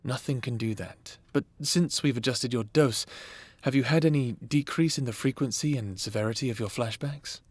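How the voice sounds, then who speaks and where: A man's voice: mid-range voice, no echo, close to an everyday voice. Man playing a formal therapist, very dry sound. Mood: calm, clinical. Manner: measured, clinical, formal diction. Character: patient, formal male government therapist. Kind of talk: cinematic audio roleplay acting, addressing the listener. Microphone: close, dry studio mic.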